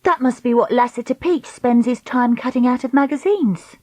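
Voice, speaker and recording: A woman's voice: on the high side, moderate pace, a little mellow, no room sound, voice pushed up, lightly acted. A woman reader voicing a bold, proud young girl in a storybook, speaking assertively and challengingly. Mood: brisk, confident.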